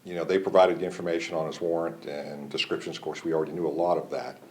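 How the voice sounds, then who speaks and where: A man's voice: extremely low pitch, steady tone, fast, darkish tone. A man with an American accent giving a matter-of-fact, procedural statement as a law enforcement official in a news interview. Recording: faint background noise.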